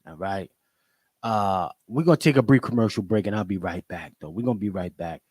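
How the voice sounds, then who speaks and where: A man's voice: fairly low pitch, a little fast, darkish tone, breathy edge, no echo, clean studio sound. A man hosting a podcast livestream in a casual, emphatic way, repeating his greetings and catchphrases. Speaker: podcast host.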